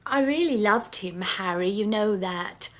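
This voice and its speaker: An actress playing a coarse, grasping widow in a radio drama, brash and scornful in manner. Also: female voice, mid-range voice, expressive, unhurried, close to an everyday voice. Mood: gloating.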